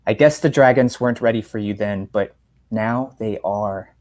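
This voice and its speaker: A man playing a gentle, earnest father who is a magic professor in a story reading, speaking in a measured, reassuring way. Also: fairly low pitch, natural voice. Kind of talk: story reading, dialogue part.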